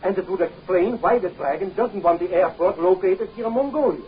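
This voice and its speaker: An actor playing a suave, evasive archaeologist in a 1930s film serial, with a formal, courteous, theatrical manner. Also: male voice, high voice, lively intonation, brisk, slight background noise. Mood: feigned amazement. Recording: dull 1930s film soundtrack, faint music.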